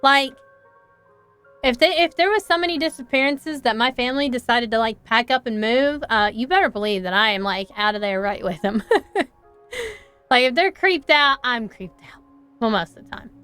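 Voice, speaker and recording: A woman's voice: on the high side, animated, moderate pace, fairly bright tone, clear, resonant tone. A woman streaming a visual novel, reading it aloud expressively and chatting along, with faint music behind. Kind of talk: live game commentary and dramatic read-aloud of a visual novel.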